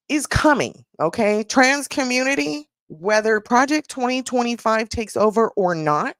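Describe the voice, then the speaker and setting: A woman's voice: on the low side, no room sound, clean studio sound. A woman hosting a casual commentary podcast, talking in a sassy, blunt way, stretching out her rhetorical questions. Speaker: podcast host.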